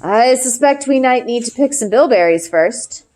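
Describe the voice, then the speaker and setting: A woman voicing a kind, steady father in a storybook, speaking in a gentle, reassuring manner. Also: on the high side, moderate pace, lightly acted. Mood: playful.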